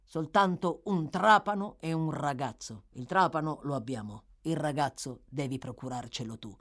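A woman voicing a gruff, suspicious male burglar in an audiobook, blunt and demanding. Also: low-pitched, normal speed, fairly bright tone. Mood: blunt, impatient.

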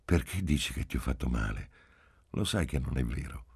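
A man reading a story in the part of a calm father who teases and gently chides his child. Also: extremely low pitch, normal speed, gravelly, husky, no room sound, studio quality, pitched below the performer's own voice, exaggerated voice. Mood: playful scolding. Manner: teasing, patient.

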